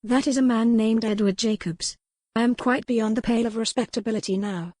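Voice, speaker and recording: A woman's voice: a little high, fairly even intonation, fairly quick, a little mellow, no echo, pitched above the performer's own voice, natural voice. A troubled young noblewoman from a historical romance audiobook, voiced by a woman narrator. She speaks formally and earnestly, with urgency and pride. The sound is dry and close. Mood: defensive. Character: distressed young noblewoman, earnest, proud and defiant.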